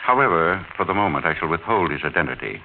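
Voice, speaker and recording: Rich older husband, really low voice, suave n insinuating, muffled old 1940s radio drama with some hiss. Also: male voice, expressive. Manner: measured, formal, insinuating, falsely genial. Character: wealthy, older husband, suave, quietly menacing mining engineer. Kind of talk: 1940s radio mystery acting.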